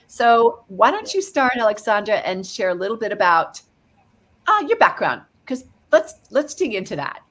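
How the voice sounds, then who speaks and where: A woman's voice: medium pitch, sing-song, moderate pace. A woman hosting a podcast, introducing and interviewing her guest with enthusiasm and frequent laughter. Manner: enthusiastic, laughs often, warm praise of guest. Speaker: podcast host.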